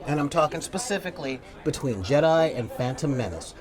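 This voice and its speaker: Emphatic man, higher-pitched voice, crowd chatter behind. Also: animated, a little fast. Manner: emphatic, opinionated. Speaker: panelist. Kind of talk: casual film debate. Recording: crowd chatter, outdoor wind on mic.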